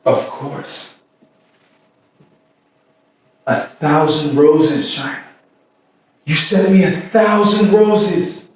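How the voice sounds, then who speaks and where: A man acting an anguished lover, very echoey. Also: on the high side. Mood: haunted, brooding, then overwhelmed. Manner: intense, halting, with repetitions and sudden swells. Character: anguished man haunted by obsessive, dangerous love. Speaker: stage actor. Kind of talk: theatrical staged reading, dramatic monologue. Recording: echoey room from far off, faint noise.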